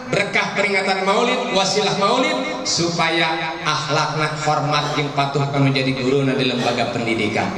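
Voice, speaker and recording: Echoey, higher-voiced Sundanese male preacher. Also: sharp tone. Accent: Sundanese-region Indonesian. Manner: rhetorical questions put to listeners, emphatic, folksy. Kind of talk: religious sermon to a gathering, mixing languages. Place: large echoing venue at a school event. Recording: echoey hall PA, distant, crowd behind.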